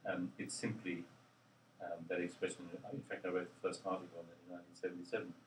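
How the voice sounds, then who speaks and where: Older man, very low, halting. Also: little pitch movement, slight background noise. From Australia. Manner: halting, many ums, thinks aloud. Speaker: interviewee, linguist. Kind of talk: reflective interview answers. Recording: roomy, distant mic with faint ambience.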